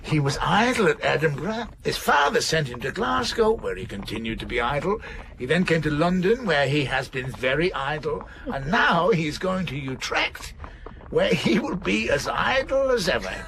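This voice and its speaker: Period radio drama: magisterial, teasing scholar, lively highish pitch, emphatic and sententious, noisy ambient scene. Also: male voice, moderate pace, vocal fry, full character voice. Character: great learned man of letters, opinionated, teasing, magisterial.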